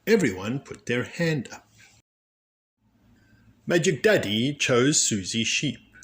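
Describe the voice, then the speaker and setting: A man reads a children's storybook aloud in an expressive way. His delivery is slow and deliberate, and his pitch moves in lively, animated swings. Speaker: storybook reader, children's read-aloud channel. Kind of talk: expressive scripted storybook narration.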